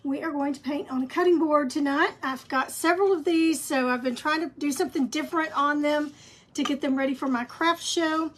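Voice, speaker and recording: A woman's voice: high voice, brisk. Woman, scratchy voice, encouraging craft teacher, folksy live stream. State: scratchy voice, clearing throat. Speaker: craft painting instructor. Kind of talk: live-streamed painting tutorial.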